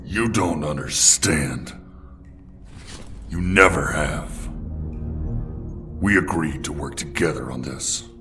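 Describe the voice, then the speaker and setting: Man as a very deep, grim vigilante. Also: distant mic, music in the background. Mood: grim, measured. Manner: stern, deliberate. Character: Batman, grim brooding middle-aged male vigilante detective. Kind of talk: comic dub voice acting.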